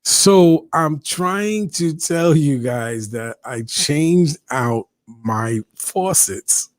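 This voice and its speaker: A man's voice: a little high, lively intonation, very slow, no echo. A man in his early fifties hosting a casual livestream chat, talking to viewers and reading out their comments as he goes. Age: 50s. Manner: chatty, reads out viewer comments, often interrupts himself. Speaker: host.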